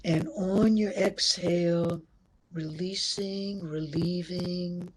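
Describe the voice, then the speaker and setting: A woman leading a guided meditation as instructor, speaking gently in short, measured phrases with a breathy, husky tone. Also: lowish voice, fairly even intonation, low-quality recording.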